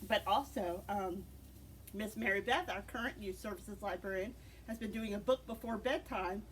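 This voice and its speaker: A middle-aged woman giving a conversational book talk as a librarian, in an encouraging, gently prompting manner. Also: medium pitch, normal speed. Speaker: librarian and co-host recommending books. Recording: distant mic with loud background noise.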